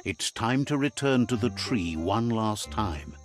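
A man's voice: fairly low pitch, lively intonation, measured pace. A man playing a solemn storybook narrator in a video game, speaking scripted lines over music.

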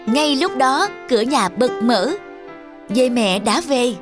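A woman's voice: high-pitched, lively intonation, slow pace. A woman giving scripted narration of a children's story. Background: music.

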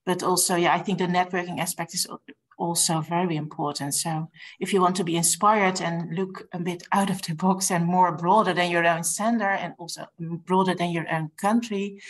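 Lower-pitched woman, non-native English. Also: fairly even intonation, moderate pace. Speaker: podcast guest. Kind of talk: panel discussion. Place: indoors, on a video call.

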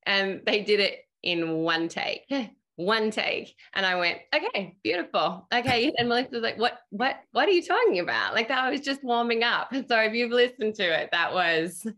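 A woman speaking as a director on a video-call panel, telling a casual behind-the-scenes story in an animated way. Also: a little high, moderate pace. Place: indoors at home on a video call. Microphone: video call microphone.

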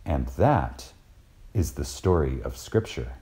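A man delivers a lecture reading in a deep, mellow voice. His pitch moves widely as he stresses key words. He is reverent and dramatic, leaving deliberate pauses between phrases. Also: animated. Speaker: reader of a recorded lecture.

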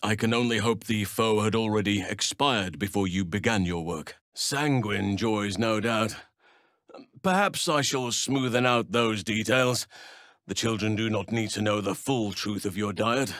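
A solemn, gentle druid healer for a fantasy video game. His voice sits on the low side and is recorded very dry. He phrases things formally and with old-world gravity, like a wise elder of the wilds. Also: no echo. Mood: grave, reflective. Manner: measured, formal, archaic phrasing.

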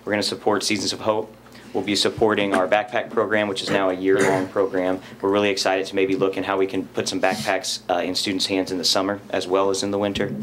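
Man, 30s, teachers' rep, enthusiastic, earnest, low voice, indoor meeting room. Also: completely flat delivery, quick pace, hardly any pauses, fairly bright tone, slight background noise. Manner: enthusiastic, earnest, standing while speaking. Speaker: teachers' association representative. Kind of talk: spoken report at a board meeting.

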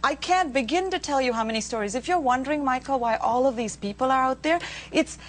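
Woman in her 30s arguing on a tv panel, highish voice, faint hum. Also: quick pace. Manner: insistent, argumentative. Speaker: media spokesperson, panel guest. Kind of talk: panel debate. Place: TV studio round table. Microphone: lapel.

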